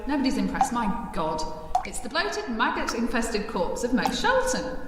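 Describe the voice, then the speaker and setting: An actress plays an exasperated, scolding woman adventurer in a spoof radio drama. Her highish voice swings widely in pitch. It rings in a long, distant reverb with music behind. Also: big pitch swings, normal speed, noisy background. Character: Laura, bossy, exasperated adventuring sidekick woman.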